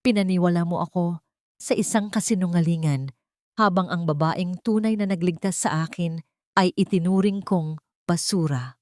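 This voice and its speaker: Woman doing betrayed rich guy in audio drama, stern, accusing, hurt, lowish female pitch. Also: moderate pace, a little mellow, dry recording, clean studio sound, lightly acted. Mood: anguished anger. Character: betrayed, furious wealthy man, anguished and disgusted.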